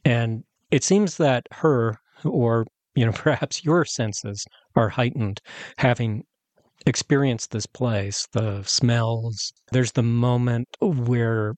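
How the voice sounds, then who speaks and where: A man interviewing an author, asking long, measured questions in a formal way with small stumbles. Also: mid-range voice, darkish tone, no echo.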